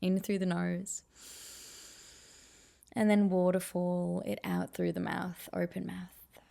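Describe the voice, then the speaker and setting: Gravelly, mellow woman guiding meditation. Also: fairly low pitch, flat delivery. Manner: soothing, unhurried, gently encouraging. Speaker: meditation guide. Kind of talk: guided meditation, soft instruction.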